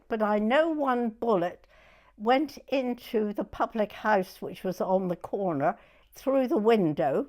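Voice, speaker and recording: A woman in her nineties with a southern English accent, reminiscing hesitantly in an interview, often repeating herself and trailing off. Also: on the high side. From Weymouth, Dorset, England. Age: 90s. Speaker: oral history interviewee.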